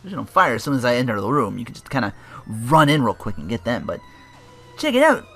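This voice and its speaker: A man hosts a let's play in a bright, animated voice. He rambles casually, swears and corrects himself as he goes, while faint game music plays behind him. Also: mid-range voice, rapid-fire. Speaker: let's player, host. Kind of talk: casual gameplay commentary with swearing.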